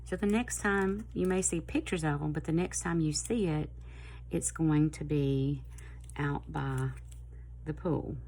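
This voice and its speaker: A middle-aged woman with a Southern US accent, chatting casually and a little hoarse, in a rambling, friendly way. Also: deep voice, a little slow, a little mellow. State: slightly hoarse. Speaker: planner vlogger. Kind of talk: casual chat to camera.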